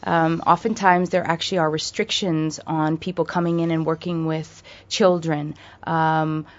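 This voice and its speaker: A woman's voice: deep voice, level tone, measured pace, dull audio. An American woman speaking as a radio guest, thoughtful, with many fillers and restarts. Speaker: guest from a charity. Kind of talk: radio interview.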